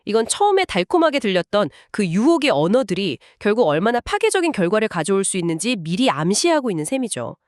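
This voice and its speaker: A woman's voice: medium pitch, sing-song, fast talker, hardly any pauses, no echo, clean studio sound. A woman co-hosting a radio-book show, explaining the lessons of a story. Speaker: co-host commenting on the story.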